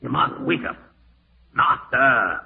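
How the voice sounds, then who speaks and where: A man's voice: medium pitch, expressive, a little slow, gravelly. An actor playing a callous, scheming man in a 1940s radio horror drama, muttering to himself and snappish, with melodramatic delivery. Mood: sly, muttering. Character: scheming, callous male relative plotting murder, panicking and irritable. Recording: scratchy, tinny old-time radio broadcast.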